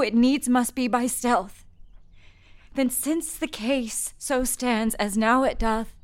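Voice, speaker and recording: Lady doing a chatty old nurse, frank and coaxing, a bit high. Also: natural voice. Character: older talkative household nurse woman. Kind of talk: Shakespeare stage reading.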